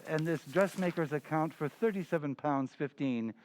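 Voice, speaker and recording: Guy as radio play police inspector, formal and eager to report, fairly high voice, faint noise behind. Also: normal speed, close to an everyday voice. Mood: matter-of-fact. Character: earnest, deferential police inspector, adult man. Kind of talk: old-time radio drama acting.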